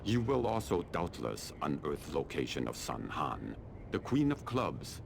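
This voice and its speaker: An actor playing a stern, calculating Chinese army colonel in a video game, speaking in a formal, deliberate, haughty manner. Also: male voice, a bass voice, very animated, vocal fry, noisy background, full character voice. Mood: measured, imperious. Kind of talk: scripted video game dialogue.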